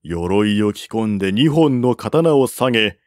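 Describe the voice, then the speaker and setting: A man reading a historical tale as a narrator, in a measured, formal storytelling manner. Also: fairly low pitch, lively intonation, darkish tone, no room sound, clean studio sound. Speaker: narrator, audiobook-style reader. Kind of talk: scripted narration of a historical Chinese novel.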